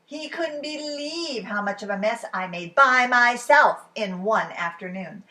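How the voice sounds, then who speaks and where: A woman reading a children's story aloud as expressive storytime narration, animated and with dramatic pauses. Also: a little high. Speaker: storybook reader.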